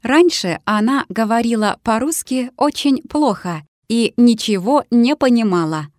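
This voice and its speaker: A woman reading lesson dialogues aloud in a measured, carefully articulated way. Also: fairly high pitch, expressive, a little mellow, dry recording, clean studio sound. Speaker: language teacher.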